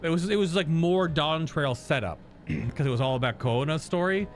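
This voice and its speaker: A man's voice: fairly high pitch, normal speed, faint music behind. A man giving casual, informal reaction commentary as a game streamer, joking and swearing freely.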